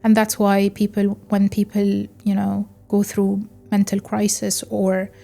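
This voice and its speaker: A woman from Egypt hosting a solo podcast, speaking in a calm, measured way with pauses and fillers. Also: medium pitch, creaky, faint music behind. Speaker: podcast host. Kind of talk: reflective solo podcast talk.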